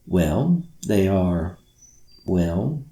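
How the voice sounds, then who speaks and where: A man voicing a gentle, mysterious magical spirit, soft and calm, in a children's bedtime story. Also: deep voice, drawn-out words, echoey room, heavily acted. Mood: calm, regretful.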